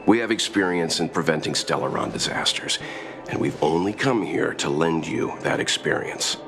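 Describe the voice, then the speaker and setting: An actor voicing an earnest, scholarly traveler in a video game, explaining things politely and reasonably. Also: male voice, very deep voice, moderate pace, creaky. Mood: earnest, reasoning. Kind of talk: scripted video game dialogue. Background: background music.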